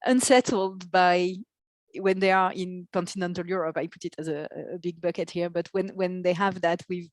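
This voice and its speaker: A woman answering as a podcast guest in a conversational, explanatory way. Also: fairly low pitch. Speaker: podcast guest, marketing executive.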